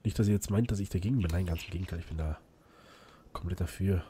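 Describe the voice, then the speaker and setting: A man who is a bit hoarse from illness, chatting casually and rambling as he hosts a let's play, with lots of fillers and restarts. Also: deep voice, fast, warm tone. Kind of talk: casual gameplay commentary.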